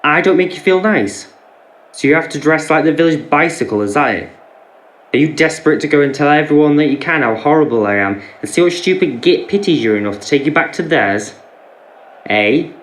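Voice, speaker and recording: A man's voice: fairly high pitch, expressive, brisk, a bit bright. A man with a British accent playing a controlling, jealous, abusive husband in an audio drama, aggressive and sneering as he interrogates. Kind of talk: naturalistic audio drama acting. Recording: roomy sound, faint background noise.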